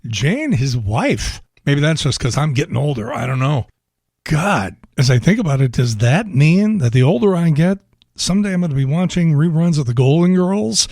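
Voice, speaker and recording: Hoarse middle-aged host. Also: male voice, a little high, a little mellow, dry recording, studio quality. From south side of Chicago. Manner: rambling, wry, chuckling asides. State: hoarse from laryngitis. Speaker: radio show host. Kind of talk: casual talk monologue.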